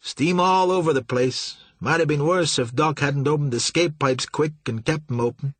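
A man's voice: a little high, normal speed, no echo, pitched above the performer's own voice, natural voice. A man voicing a loyal, worried old male boat captain who pleads in folksy speech.

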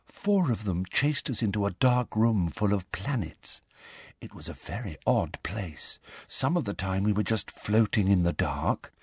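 A man voicing a soft, dreamy teenage girl with a hushed, gentle manner, in a fantasy audiobook. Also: medium pitch, sing-song, measured pace, husky, dry recording, clean studio sound. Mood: hushed, sad.

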